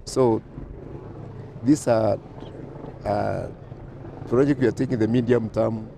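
A man from Kenya speaks with confidence in a gravelly, mellow voice that sits a little low. A faint hum of background noise runs behind him. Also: fairly even intonation, slow pace. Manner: confident, with repeated you know. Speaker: government official. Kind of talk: news interview.

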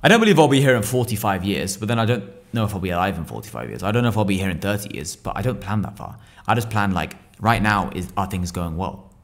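A young English man talks fast and bluntly, cursing as he goes. His voice sits a little low, and he speaks into a boom mic at a table indoors. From England. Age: 20s. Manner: fast, blunt, swears freely. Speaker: podcast guest, business owner. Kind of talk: casual podcast conversation. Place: room seen, small room at a table indoors. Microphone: boom mic on an arm.

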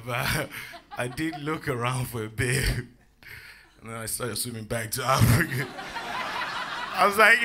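A young man from Zimbabwe doing a stand-up set on a comedy club stage with a handheld mic, animated and laughing at his own jokes, jumping into shouted bits. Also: medium pitch, a little hiss or hum. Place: comedy club stage before a live audience.

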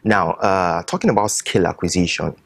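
Male host, lowish husky voice, dry studio sound, formal interviewer. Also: moderate pace, dry recording. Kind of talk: scripted studio interview introduction.

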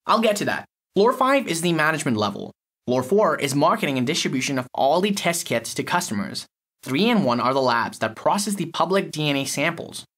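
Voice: medium pitch, very animated, brisk, fairly bright tone, husky. An actor playing an impatient, reluctant lab technician in an audio story, explaining with exasperation. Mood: dry explaining.

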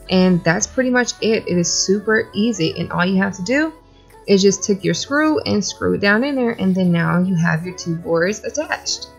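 Young woman talking through her diy closet build, lowish gravelly voice, kinda muffled sound. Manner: plain step-by-step explaining. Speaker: DIY vlogger. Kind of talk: casual how-to narration. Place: small closet in a house.

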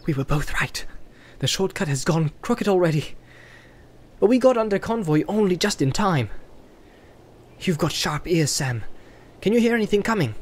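Earnest young hobbit lead, measured and firm, guy doing the voice. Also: high-pitched, expressive, a little hiss or hum, voice pushed up. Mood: urgent. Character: earnest young male hobbit leader, calm and careful. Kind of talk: audiobook character voice.

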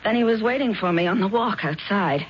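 An actress playing a scheming, seductive and greedy ex-wife in a 1970s radio drama. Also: female voice, mid-range voice, expressive, brisk, husky. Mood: sly, urging. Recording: dull, noisy 1970s radio recording.

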